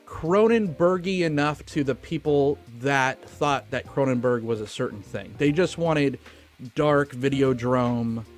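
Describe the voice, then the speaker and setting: A man's voice: medium pitch, unhurried, soft background music. A man co-hosting a film podcast in casual, opinionated, profane conversation.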